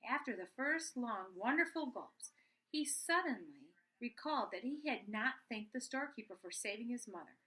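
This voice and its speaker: A woman's voice: fairly high pitch, animated, normal speed, pushed and bright, low-quality recording. A middle-aged woman reading a children's story aloud. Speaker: storybook reader.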